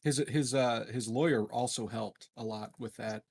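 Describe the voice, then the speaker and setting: A man's voice: medium pitch, steady tone, moderate pace, vocal fry, husky. A man chatting casually as a tabletop game player, with a wry, informal way of talking. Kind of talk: casual chat.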